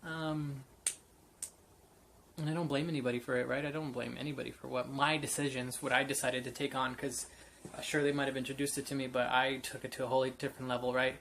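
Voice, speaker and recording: A man's voice: mid-range voice, little pitch movement, fast talker, gravelly, a bit bright, slight background noise. A man in his late twenties telling a personal story off the cuff, candid and rambling with many fillers and restarts. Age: 20s. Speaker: vlogger. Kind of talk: unscripted personal story to camera.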